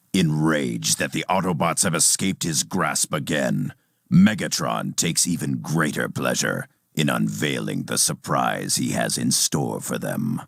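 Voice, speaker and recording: Very deep gravelly robot villain. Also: male voice, fairly bright tone, dry recording, clean studio sound, exaggerated voice. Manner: measured, clinical, formal, condescending. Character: cold, calculating robot warrior scientist, haughty male villain. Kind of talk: video game villain acting and narration.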